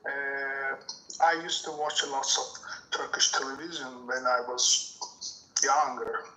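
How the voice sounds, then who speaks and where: A man, talking haltingly in a casual conversation and often searching for words. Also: mid-range voice, little pitch movement, bright, forceful tone. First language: Azerbaijani. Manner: hesitant, many fillers, searching for words. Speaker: guest. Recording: echoey room.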